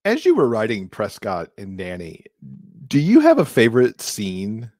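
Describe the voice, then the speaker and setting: A man's voice: fairly low pitch, wildly swooping pitch, normal speed, a little mellow, no room sound. A middle-aged man speaking as an interviewer in a casual, conversational style, close to his microphone. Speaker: podcast co-host, interviewer. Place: indoors, video call from a room. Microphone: large microphone close in front of him.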